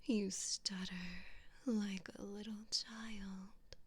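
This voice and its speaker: Woman playing a whispering, slow, haughty evil queen. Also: medium pitch, level tone, darkish tone, full character voice. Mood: mocking, threatening. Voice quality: breathy whisper. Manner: slow, imperious, condescending, drawn-out phrasing. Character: haughty, cruel evil queen, disdainful of peasants. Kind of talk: whispered ASMR roleplay.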